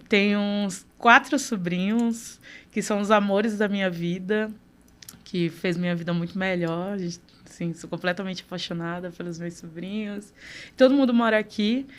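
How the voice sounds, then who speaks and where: A woman in her thirties from Recife, talking as a podcast guest in a lively, warm way with emphatic stresses. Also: mid-range voice. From Recife, Pernambuco, Brazil. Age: 30s. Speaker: guest, city councillor. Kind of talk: casual interview.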